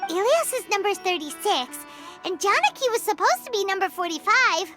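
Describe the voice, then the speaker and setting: A woman voicing a small, childlike floating companion in a video game, chirpy and blunt with a squeaky voice. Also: sing-song, normal speed, bright, forceful tone, soft background music, exaggerated voice. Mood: exasperated.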